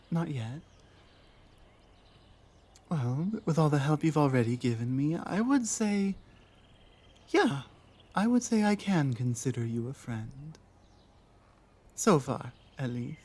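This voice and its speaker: Casting: actress as lost orc warrior woman for ASMR roleplay; earnest, wary, unhurried, pausing often. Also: deep voice, warm tone, slightly rough, exaggerated voice. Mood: comforted, softening. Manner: halting, earnest, deliberate phrasing with pauses. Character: lost, guarded young female orc warrior, earnest and lonely. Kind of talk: ASMR roleplay audio drama.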